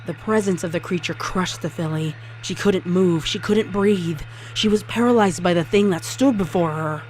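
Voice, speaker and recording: A woman's voice: on the low side, normal speed, a little mellow, a little hiss or hum, poor audio. A woman reading scripted horror fiction as a dramatic story narrator. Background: music under the voice.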